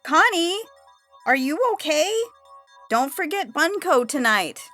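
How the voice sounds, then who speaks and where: Woman as high, bright, fussy neighbour lady. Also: animated, slow pace, full character voice. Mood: sweet concern. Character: chirpy, fussy neighbour lady posting online. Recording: music playing behind the voice.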